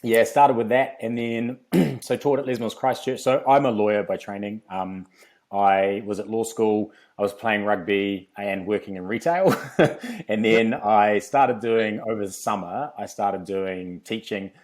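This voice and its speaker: A man's voice: lowish voice, fairly even intonation, unhurried, darkish tone. A man from New Zealand with a New Zealand accent, a guest on a podcast, telling his career story in a chatty way, with frequent ums and easy laughs. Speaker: podcast guest, fitness company founder. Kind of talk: relaxed podcast interview.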